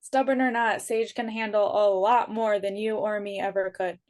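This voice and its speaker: A woman's voice: mid-range voice, fairly even intonation, moderate pace, a little mellow, natural voice. A woman reading the part of a guarded, principled sixteen-year-old emo shop worker, blunt and dry, in a drama table read. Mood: defensive.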